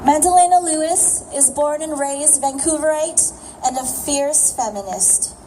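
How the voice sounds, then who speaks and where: A woman acts as emcee at an outdoor rally, introducing the next speaker in a brisk, projecting voice. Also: high-pitched. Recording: distant, noisy crowded rally sound.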